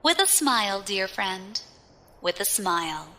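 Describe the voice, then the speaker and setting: A woman's voice: mid-range voice, sing-song, unhurried, very noisy. An actress playing a cool, scheming female space pirate leader in an audio drama, wry, teasing and self-assured. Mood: determined, confident.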